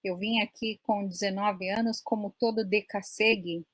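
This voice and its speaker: A woman's voice: medium pitch, steady tone, moderate pace, no echo. A Brazilian woman in her forties hosting a live online chat in a friendly, talkative way, often adding "né?". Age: 40s. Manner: friendly, chatty, many "né?" tags. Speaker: host. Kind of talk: casual live-stream chat.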